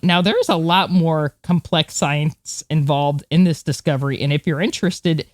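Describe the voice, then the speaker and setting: A woman's voice: sits low, normal speed, dry recording. A woman co-hosting a podcast, talking through science news in a conversational way. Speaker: podcast co-host.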